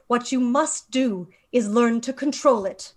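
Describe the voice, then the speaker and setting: A woman's voice: a little high, a little slow. A woman playing a commanding, composed leader of a magical order in a screenplay table read. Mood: firm, grave.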